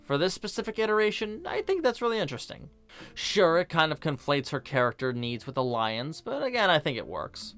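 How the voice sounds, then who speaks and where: A man's voice: on the high side, big pitch swings, brisk, a bit bright, faint music behind. A man narrating a scripted film review as host, with casual, opinionated, conversational asides.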